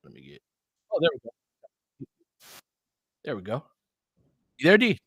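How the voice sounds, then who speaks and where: A man's voice: fairly high pitch, huge pitch swings, a little slow, fairly bright tone, breathy edge, no room sound, clean studio sound. A man hosting a casual fan livestream, calling basketball in short clipped phrases mixed with chatty asides. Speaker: play-by-play host.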